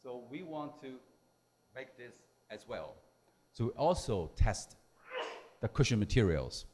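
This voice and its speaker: A man who is a non-native English speaker giving a technical lecture in halting, simple phrases. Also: medium pitch, sing-song, darkish tone, slight background noise. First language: not English. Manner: halting, hesitant delivery with simple broken phrasing. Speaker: technical presenter at a symposium.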